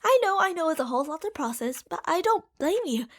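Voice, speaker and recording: Girl voice actor doing a yandere stalker gf scientist, really high voice, clingy and gushy, hushed then excited, asmr rp. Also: fast talker, full character voice. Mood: lovesick, excited. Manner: doting, gushing, swinging between hushed and excited. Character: obsessive, delusional yandere girlfriend, a genius scientist from another universe. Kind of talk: ASMR roleplay monologue addressed to the listener.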